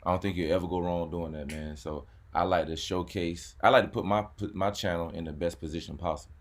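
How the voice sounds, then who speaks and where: Male host, very low voice, relaxed chatty delivery peppered with "man". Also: a little fast. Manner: laid-back, repeats words, says "man" a lot. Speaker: YouTube car channel host. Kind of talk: casual chat.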